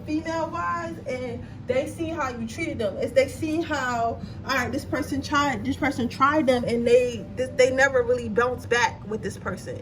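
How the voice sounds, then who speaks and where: A woman giving a casual tarot reading, chatty and blunt, swearing freely and checking in with her viewers, her nose slightly stuffy. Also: high voice. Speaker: tarot card reader. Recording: distant mic with noisy background.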